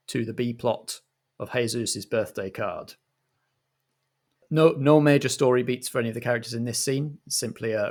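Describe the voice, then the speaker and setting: Man as a grandiose, deadpan director-narrator. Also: mid-range voice, close to an everyday voice. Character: self-important, sentimental northern male director-presenter. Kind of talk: comic scene narration.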